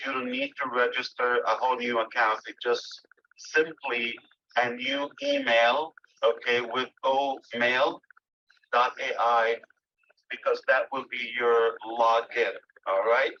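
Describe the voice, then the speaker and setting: Brief: man, middle-range pitch, hesitant phone-call delivery full of pauses, muffled lo-fi line. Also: sharp tone, a little hiss or hum. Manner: halting, many filler pauses. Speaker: company leader giving an update. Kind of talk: phone call update to members.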